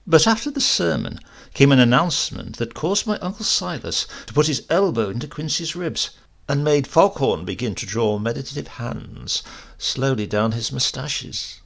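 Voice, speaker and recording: Gravelly male storyteller reading a comic tale. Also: medium pitch, moderate pace. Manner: expressive, animated. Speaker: audiobook reader.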